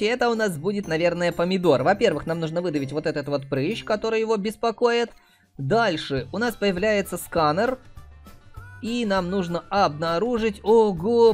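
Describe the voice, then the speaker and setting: A man hosting a mobile game playthrough, giving chatty, casual commentary addressed to his viewers. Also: high-pitched. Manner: chatty, uses cute diminutives.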